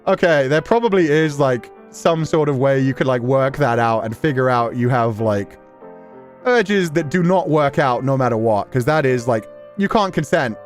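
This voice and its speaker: A man's voice: mid-range voice, expressive, normal speed, faint music behind. A man talking casually and emphatically as a streamer, rambling through commentary with slangy asides. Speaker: streamer reacting to a story game. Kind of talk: casual rambling commentary, reading and answering chat.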